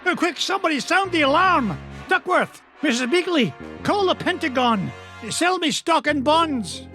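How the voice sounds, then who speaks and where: A gruff, miserly old duck with a thick Scottish burr. His voice sits high and he talks brusquely in a game cutscene with soft music underneath. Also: very animated, normal speed, full character voice. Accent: put-on Scottish. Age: older. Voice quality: brusque, blustery. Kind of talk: video game cutscene acting.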